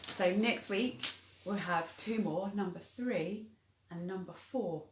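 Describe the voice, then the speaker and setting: A woman's voice: medium pitch, slow, reverberant, slight background noise, poor audio. A woman co-presenting, chatting casually to viewers.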